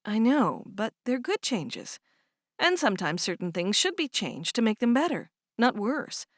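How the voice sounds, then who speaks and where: A woman doing a gentle, reassuring big sister. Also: fairly high pitch, sing-song, measured pace, no room sound, studio quality. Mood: soothing. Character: kind, calm older sister, young woman.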